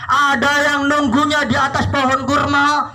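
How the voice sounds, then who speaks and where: A man telling religious stories to children in an animated, interactive way, asking questions and repeating phrases. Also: extremely high pitch, moderate pace. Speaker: children's storyteller.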